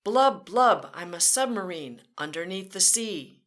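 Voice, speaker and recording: A woman's voice: medium pitch, lively intonation, unhurried, fairly bright tone. A woman reading a picture book aloud to children, in a sing-song way, with playful vehicle sound effects. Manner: talks directly to children. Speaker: children's storyteller. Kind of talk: read-aloud storytime.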